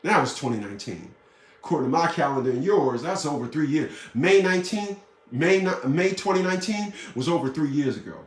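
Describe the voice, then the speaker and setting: Man in his 50s, blunt profane monologue, higher voice, echoey room, a bit off-mic. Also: expressive, fairly quick, far from the mic, a little hiss or hum. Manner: blunt, profane and boastful, with repeated phrases. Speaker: vlogger. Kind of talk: casual monologue to camera.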